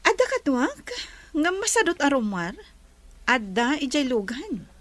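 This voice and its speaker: A woman with an Ilocano accent playing a sophisticated, assertive lady resort owner in a comedy radio drama, quick to question. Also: high voice, very animated, a bit bright, dry recording, heavily acted. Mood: poised, curious. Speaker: voice actor.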